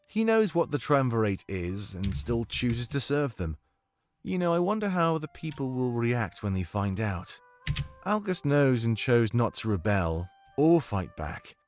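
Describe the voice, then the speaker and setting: Casting: male actor as principled royal confidant, fantasy drama. Medium pitch, measured, wary, given to searching questions. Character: thoughtful male fantasy ally, wary, principled adviser to the monarch. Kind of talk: fantasy audio drama acting.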